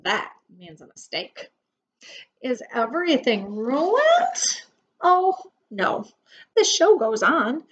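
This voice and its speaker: A woman's voice: high-pitched, huge pitch swings, slow. A middle-aged woman preschool teacher reading a picture book aloud expressively to young children, with dramatic emphasis and simple explanations. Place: indoor room at home. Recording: dull webcam audio with faint noise.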